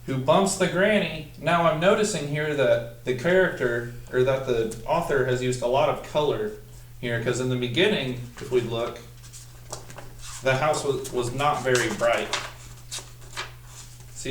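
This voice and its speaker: A young man with a mid-pitched voice reads a picture book aloud as a student teacher would. He stretches the story lines deliberately and speaks instructively to young listeners. The sound is roomy, picked up from a few feet away in a small room. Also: a little hiss or hum. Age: young adult. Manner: deliberate, instructional, drawn-out when reading the text. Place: small room at a table, indoors. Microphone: camera mic a few feet away. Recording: roomy echo, distant microphone.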